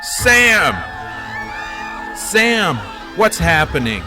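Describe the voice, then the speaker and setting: A man's voice: high voice, very animated, very slow, exaggerated voice. A man playing an earnest, anxious eighteen-year-old in an audio drama. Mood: alarmed, shouting. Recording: loud music and crowd behind.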